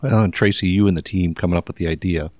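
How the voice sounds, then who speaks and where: A man's voice: deep voice, fairly even intonation, fast, a little mellow, no room sound. A man co-hosting a podcast, chatting with guests in an enthusiastic, praising way. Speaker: podcast co-host. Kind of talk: casual interview chat.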